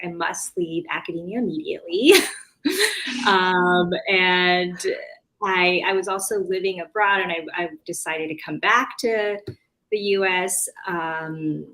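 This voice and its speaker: A woman's voice: fairly low pitch, fairly bright tone, poor audio. An American woman from California chatting casually as an interview guest, often interrupting herself as she searches for words. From California, United States.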